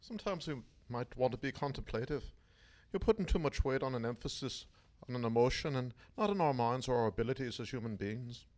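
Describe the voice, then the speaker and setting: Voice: mid-range voice, lively intonation, a little fast, a little mellow, natural voice. An actor playing a reluctant, complaining member of an outlaw band in a comic radio play. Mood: earnest. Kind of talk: radio-play comedy acting.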